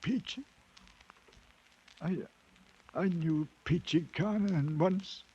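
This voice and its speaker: An actor playing a broken, half-mad wanderer, halting and dazed, in a 1940s radio drama. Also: male voice, high-pitched, mellow, heavily acted. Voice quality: husky, breathy. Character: broken, half-mad wanderer, once a British adventurer and king. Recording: muffled vintage 1940s radio recording.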